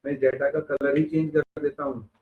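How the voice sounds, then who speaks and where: A man's voice: fairly high pitch, flat delivery, normal speed, slight background noise. Man lecturing from far off in an echoey classroom. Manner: explains step by step with rhetorical questions. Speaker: instructor. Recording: echoey room, far from a phone-like mic.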